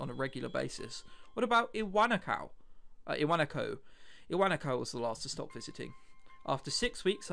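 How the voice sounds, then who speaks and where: Animated higher-pitched man reading aloud. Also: creaky. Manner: wry, chatty asides between read lines. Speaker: let's play host. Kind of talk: reading a visual novel aloud with commentary. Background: background music.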